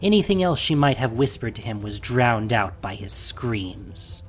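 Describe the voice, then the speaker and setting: A man narrating a scripted fantasy audio drama in a dramatic, deliberate way, with a breathy voice. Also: medium pitch, very animated, soft background music.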